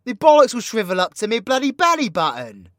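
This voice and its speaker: A man's voice: very high voice, huge pitch swings, brisk, fairly bright tone, dry recording, studio quality, full character voice. A British man playing a cocky, foul-mouthed, vengeful supervillain magician in an audio roleplay, with slangy, theatrical, teasing banter. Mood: mocking, exasperated. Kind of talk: ASMR-style audio roleplay, addressing an unheard companion.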